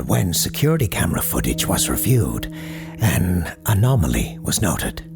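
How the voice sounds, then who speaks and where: A man playing a grave, low-voiced paranormal radio host. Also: vocal fry, exaggerated voice. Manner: measured, formal, ominous understatement. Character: courteous, grave male parapsychologist hosting paranormal radio show. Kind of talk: scripted audio drama as late-night radio call-in show.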